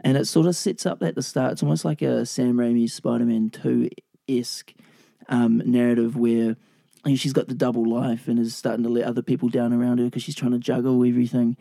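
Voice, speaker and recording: A man co-hosting a podcast, chatting about a film in a loose, rambling, jokey way, his voice raspy and sleepy from the late hour. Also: medium pitch, little pitch movement, fairly quick, warm tone, dry recording. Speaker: podcast co-host. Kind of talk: casual film review chat.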